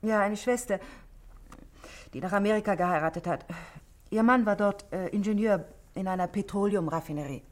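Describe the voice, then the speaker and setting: An actress playing a self-possessed, guarded married shop manageress in a radio drama, speaking precisely and defensively. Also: female voice, mid-range voice, moderate pace, lightly acted. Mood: composed, proud. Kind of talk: 1960s German radio drama acting.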